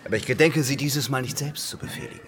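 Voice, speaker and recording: A man's voice: medium pitch, quick pace, fairly bright tone, lightly acted. Man as a formal, reserved naval commodore. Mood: coolly strategic. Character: disciplined naval commodore, cool, determined pirate hunter. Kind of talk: German radio drama acting. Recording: faint music behind.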